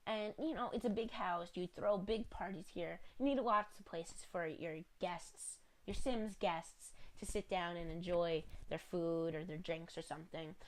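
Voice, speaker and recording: A woman's voice: on the low side, a little slow, few pauses. A woman with a slightly congested voice, a little sick, narrating a home-building game video in a rambling, apologetic, chatty way with lots of fillers.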